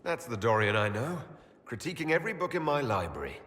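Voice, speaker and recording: An actor playing an earnest, loyal leader of a fantasy game order, with a sincere and direct way of talking. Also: male voice, medium pitch, very animated, fairly quick, fairly bright tone, long reverb tail. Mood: amused, curious. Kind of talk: scripted fantasy game dialogue.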